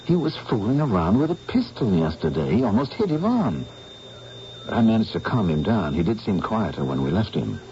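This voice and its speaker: An earnest English painter in an old radio mystery, his voice low, dark and warm but very lively in pitch. He speaks firmly and formally. The broadcast is dull and lo-fi, with a faint hiss. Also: fairly quick. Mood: troubled. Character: earnest, serious English painter, adult man. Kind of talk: 1970s radio drama acting.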